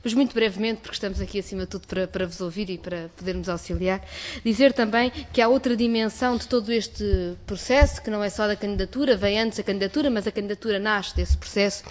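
A woman's voice: medium pitch, quick pace. A woman with a European Portuguese accent, a member of parliament giving a formal, articulate statement in a committee hearing.

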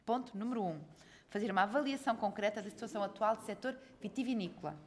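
A woman with a northern Portuguese accent chairing a parliamentary committee, reading out agenda items in a brisk, formal, procedural way. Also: medium pitch. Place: parliamentary committee room with some echo.

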